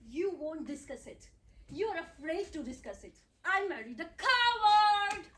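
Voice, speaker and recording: An actress playing an impulsive, emotional young newlywed wife, talking in a heated, emphatic way. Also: female voice, sits high, expressive, normal speed. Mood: angry. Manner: heated, emphatic, swings to hushed. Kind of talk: stage play acting. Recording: echoey room, distant mic, noise.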